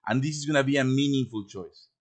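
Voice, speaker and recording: A man's voice: mid-range voice, fast talker. A man with a chatty manner hosting a casual live tutorial, often checking in with his listeners as he teaches. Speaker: host teaching game quest design. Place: live stream over online voice chat.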